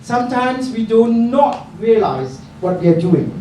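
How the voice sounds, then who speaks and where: A woman's voice: fairly high pitch, very animated, unhurried, mellow. A woman moderating an event, addressing the audience informally and emphatically, repeating phrases for effect. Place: indoor book launch event room. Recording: very echoey room, distant mic, crowd noise.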